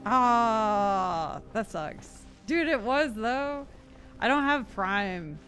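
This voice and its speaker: A woman's voice: fairly high pitch, expressive, extremely slow, fairly bright tone. A woman live-streaming a game, chatting casually with viewers in a giggly, reactive manner. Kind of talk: casual live gameplay chat. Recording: game music behind the voice. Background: video game music.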